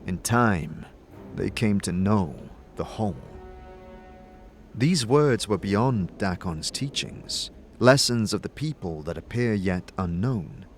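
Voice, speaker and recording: A man reading scripted, dramatic fantasy narration in a measured, solemn storytelling manner. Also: lowish voice, lively intonation. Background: music.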